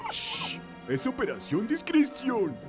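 Very high, slow, simple-minded cartoon sidekick. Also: male voice, huge pitch swings, full character voice. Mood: puzzled. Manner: slow, broken simple speech. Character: childlike, simple-minded jungle hero sidekick obsessed with buttons. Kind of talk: animated cartoon dubbing. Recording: loud music behind.

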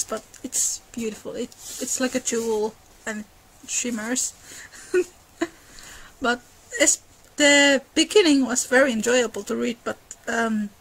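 A woman's voice: a little high, poor audio. A young woman whose first language is Finnish, retelling an old fairy tale in a chatty, casual way, laughing often and restarting her sentences. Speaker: art and mythology educator. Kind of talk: casual storytelling lecture.

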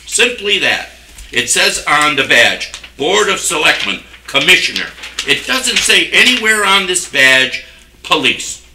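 A man's voice: a little high, sing-song, unhurried, background noise. An older man speaking defensively and insistently as a board member at a public meeting. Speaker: town select board member. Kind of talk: argumentative speech at a public meeting. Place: wood-panelled municipal meeting room. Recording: echoey meeting room, distant microphone.

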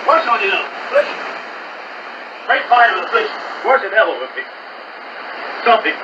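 A man's voice: sits high, sing-song, normal speed. A man preaching a fiery Pentecostal holiness sermon, screaming his exhortations and dropping suddenly into plainer talk, heard over shortwave radio. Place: heard from a shortwave receiver's speaker. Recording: noisy, muffled shortwave radio broadcast, distant.

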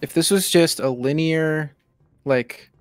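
A man's voice: on the high side, takes it slowly, smooth, no room sound. A man giving casual, critical and bored commentary as he plays a game with a partner.

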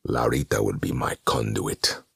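A man voicing a sinister male demon who feeds on despair, speaking slowly and scornfully in a whispery voice. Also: very deep voice, creaky, fairly bright tone, breathy edge, dry recording, clean studio sound, full character voice. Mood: cold. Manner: slow, cold and scornful.

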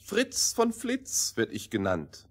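An actor playing a quirky frost-maker in a children's fairy-tale play, speaking husky rhyming verse. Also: male voice, sits high, huge pitch swings, slow pace, full character voice. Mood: quirky, proud. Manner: sing-song rhyming verse. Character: quirky frost-making man who speaks in rhyme. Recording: music faintly behind the voice.

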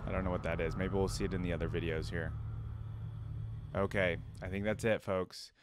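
A man's voice: low voice, fairly even intonation, fairly quick, background noise. A young American man in his twenties giving casual reaction commentary as a video host, speaking close to the mic.

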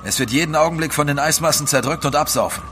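A man's voice: a little high, quick pace, music in the background, lightly acted. An actor in a German radio drama playing a practical seafaring man, matter-of-fact and scornful. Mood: blunt.

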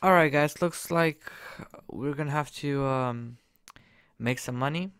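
A young man casually commenting while he plays a game on his computer, excitable and jokey, jumping from loud bursts to quiet mumbles. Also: on the high side, a little slow, clean tone. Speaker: gaming YouTuber.

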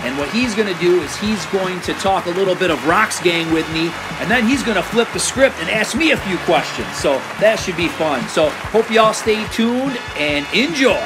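A man's voice: sits high, animated, normal speed, hardly any pauses, rough recording. A man hosting a rock podcast, upbeat and joking, often laughing as he talks, over canned crowd noise and music. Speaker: podcast host. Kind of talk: casual interview with jokes.

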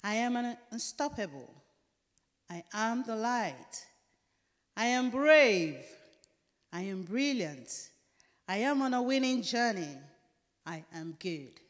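A woman's voice: a little high, very animated, slow pace, pauses often. A woman reciting a poem of short affirmations on a concert stage, stating each line firmly into a handheld mic. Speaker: poetry reader. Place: wood-panelled concert hall stage. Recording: echoey concert hall.

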